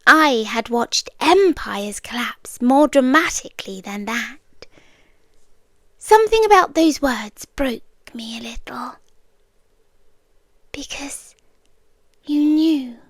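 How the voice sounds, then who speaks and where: A woman with a British accent playing an ancient, obsessive cosmic being in an intimate ASMR roleplay, speaking softly and deliberately, often whispering. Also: high voice, lively intonation, dry recording, full character voice. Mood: tender, wistful. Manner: soft, deliberate, drifting into whispers. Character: ancient female cosmic entity, obsessive yandere girlfriend, wistful and sinister.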